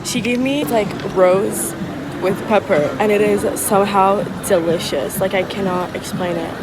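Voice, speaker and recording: A young woman born in Morocco whose first language is French, chatting excitedly through a travel vlog with lots of fillers. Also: mid-range voice, measured pace, very noisy. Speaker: travel vlogger. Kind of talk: casual vlog chat. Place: outdoors and indoors while traveling.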